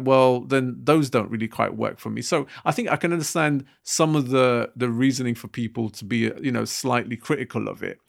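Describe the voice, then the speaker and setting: A young man with a gravelly, creaky edge to his voice talks through his opinions on a podcast. He meanders, restarting sentences and piling up fillers as he works out his point, in a mid-range pitch. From Britain. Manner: rambling, thinks aloud with many restarts and fillers. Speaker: podcast co-host. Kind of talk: casual podcast discussion.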